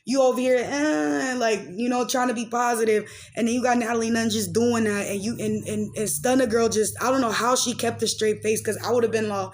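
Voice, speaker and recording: A woman's voice: fairly high pitch, fairly even intonation, brisk, hardly any pauses, slight background noise. Rambling, slangy young woman chatting casually. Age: late 20s. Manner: rambling, slangy, peppered with "like" and "freaking". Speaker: podcast host and commentator. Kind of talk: casual gossip commentary and personal chat.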